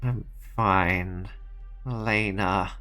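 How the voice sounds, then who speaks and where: A man voicing a calm, manipulative corporate boss in an amateur fan dub, speaking in a measured, coaxing way. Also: deep voice, soft background music, exaggerated voice. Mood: regretful.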